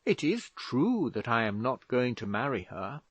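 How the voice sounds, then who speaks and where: A man's voice: big pitch swings, measured pace, no room sound, highs cut off. A man voicing a cheeky young man who answers his father with light mockery in a raised voice. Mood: flippant. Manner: lightly mocking, raised pitch. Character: insolent, flippant young man, an idle artist son.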